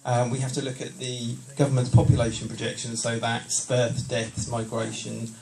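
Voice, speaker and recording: A man who works as a planning official, explaining housing figures at a public meeting in a measured, slightly hesitant way. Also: medium pitch, monotone, vocal fry, darkish tone. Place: council meeting hall. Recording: distant mic with background noise.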